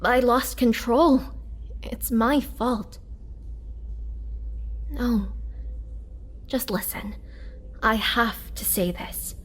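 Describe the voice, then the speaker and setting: A woman playing a gentle, remorseful young vampire in an intimate roleplay audio drama, speaking softly and earnestly with halting, sometimes whispered delivery. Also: a little high. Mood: remorseful, anguished.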